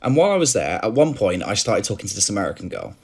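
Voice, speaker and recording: A man with a British accent telling a story in an exasperated, animated way. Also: lowish voice, quick pace, breathy edge. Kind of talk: casual storytelling clip.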